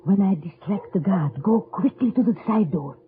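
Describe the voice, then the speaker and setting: An actress playing a brisk, bold French resistance charwoman with a French accent and a husky voice, in old radio drama style. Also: medium pitch, expressive, a little hiss or hum, lightly acted. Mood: brisk, conspiratorial. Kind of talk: 1940s-50s radio drama acting. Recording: muffled old radio recording.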